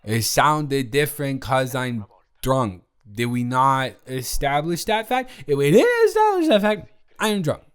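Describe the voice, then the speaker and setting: A man's voice: medium pitch, big pitch swings, a little slow, clear, resonant tone. A man playing a drunk young roommate secretly in love, rambling and stammering defensively in an improvised roleplay audio drama. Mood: indignant, salty.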